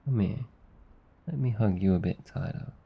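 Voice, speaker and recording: A man with an Australian accent playing a gentle, affectionate, protective demon in an intimate, soothing ASMR roleplay. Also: lowish voice, moderate pace, creaky, slightly rough, lo-fi sound. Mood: tender, teasing. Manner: soft, intimate, soothing, teasing. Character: gentle, affectionate male sleep paralysis demon, protective comforter.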